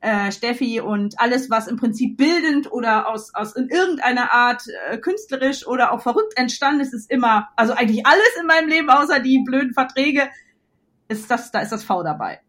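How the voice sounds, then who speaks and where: A woman in her forties from western Germany, chatting as a podcast guest in a bubbly way, laughing often and filling pauses with "ähm". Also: on the high side, expressive, a bit bright. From North Rhine-Westphalia, Germany. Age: 40s. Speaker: podcast guest, illustrator and start-up founder. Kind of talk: casual interview chat.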